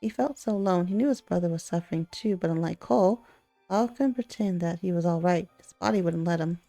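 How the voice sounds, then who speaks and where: A woman's voice: lowish voice, brisk, gravelly, dark tone, no room sound. A woman hosting a let's play, reading a visual novel aloud and reacting casually. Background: faint music.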